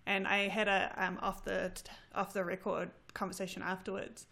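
A woman's voice: medium pitch, normal speed, gravelly. A woman in her thirties with a New Zealand accent, chatting casually and telling stories animatedly, often laughing. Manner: animated storytelling with frequent laughter. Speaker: graduate student. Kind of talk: casual conversation.

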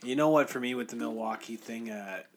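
A man's voice: medium pitch, brisk, slight background noise. Man giving opinionated, casual sports talk. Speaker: sports podcast co-host. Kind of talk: casual sports commentary chat.

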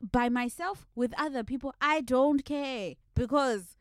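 Clear-voiced, higher-pitched young woman. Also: a little slow, no echo, studio quality. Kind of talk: casual group chat.

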